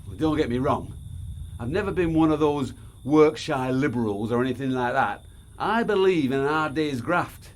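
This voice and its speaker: Working-class townsman, male actor, audio drama. Blunt, folksy, plain-spoken, mid-pitched, a bitter edge. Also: lively intonation, moderate pace, natural voice. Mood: proud, bitter. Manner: blunt, folksy, says aye.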